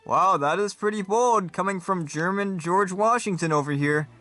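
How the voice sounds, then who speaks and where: A man puts on a peppy TV debate moderator for a comedy sketch. The voice rides high and bright, pushed forward with bombastic showmanship. Faint music plays behind it. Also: normal speed. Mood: upbeat, showy. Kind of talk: game-show style hosting.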